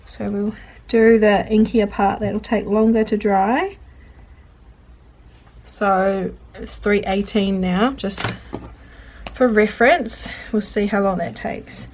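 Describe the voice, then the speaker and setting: A woman's voice: medium pitch, a little mellow. Chatty congested woman journaling aloud. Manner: rambling, self-interrupting, talks to herself while working. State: congested, sniffly. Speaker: journaling vlogger. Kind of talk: chatty real-time journaling commentary. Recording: faint clicking and background noise.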